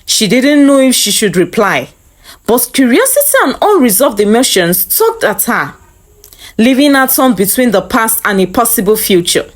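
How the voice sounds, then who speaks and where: A woman's voice: fairly high pitch, very animated, normal speed. A woman reading a scripted dramatic story as narrator.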